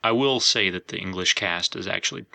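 A man's voice: low voice, fairly quick, gravelly, pushed and bright, no echo. A man co-hosting a podcast, talking casually with his friends. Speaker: podcast co-host. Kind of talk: casual chat.

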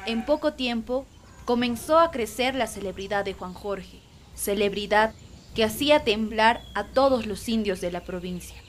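A woman's voice: a little high, normal speed, slight background noise. A woman as narrator of a radio drama, reading scripted story narration.

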